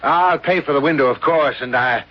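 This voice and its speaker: An actor playing a skeptical, uneasy college professor in a radio drama, speaking in a measured, formal, hesitant way. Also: male voice, on the high side, lightly acted. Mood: shaken, reluctant. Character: prudent, skeptical male college professor, shaken. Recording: dull lo-fi radio recording.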